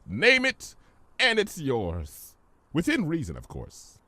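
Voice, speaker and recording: Guy doing theatrical chaos creature genie for a story, super swoopy pitch, grandiose n wheedling, dry sound. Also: huge pitch swings, takes it slowly, bright, forceful tone, no room sound, exaggerated voice. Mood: persuasive. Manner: grandiose, wheedling, dramatic delivery. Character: theatrical, grandiose male trickster chimera posing as genie. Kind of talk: fan fiction audiobook character voice.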